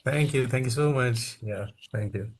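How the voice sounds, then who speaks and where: A man's voice: medium pitch, normal speed, breathy edge. Indian male instructor coaxing a class. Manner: repeats "okay" and "uh" between phrases, coaxing participants. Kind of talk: online training class introduction.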